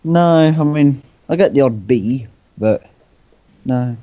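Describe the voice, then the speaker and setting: A man giving short, deadpan, offhand answers in a casual joking chat. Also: medium pitch, takes it slowly, no room sound, dull audio. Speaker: interviewee, amateur story writer.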